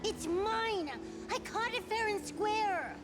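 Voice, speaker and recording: A woman voicing an excitable, boastful young village child in a video game, in quiet game audio under music. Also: very high voice, takes it slowly. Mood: excited, boastful.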